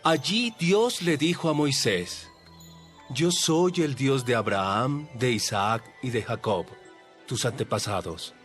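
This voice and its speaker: A man's voice: mid-range voice, animated, takes it slowly, fairly bright tone, faint music behind. A man playing an authoritative religious teacher in a dramatized audio Bible, instructing followers in a didactic, emphatic way. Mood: firm, correcting.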